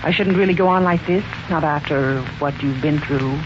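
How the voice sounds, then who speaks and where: Woman playing a suspicious, insinuating servant, deferential yet pointed, old-time radio drama, dull recording with clear background noise. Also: deep voice, normal speed, close to an everyday voice. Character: insinuating housemaid, deferential on the surface, quietly suspicious. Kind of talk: 1940s radio mystery acting. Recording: muffled, noisy 1940s radio recording.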